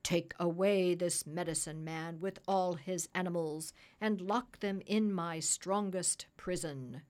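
A woman's voice: lowish voice, slow, few pauses, a little mellow. A woman voicing a stern, imperious African king in a storybook, speaking in a commanding, declamatory way. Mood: stern, aggrieved.

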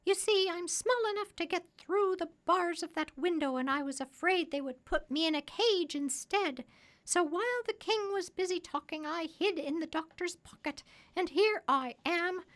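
Very high, bossy storybook parrot. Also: female voice, moderate pace, fairly bright tone. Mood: indignant, proud. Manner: assertive, explaining herself precisely. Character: small old female parrot, clever, proud and bossy.

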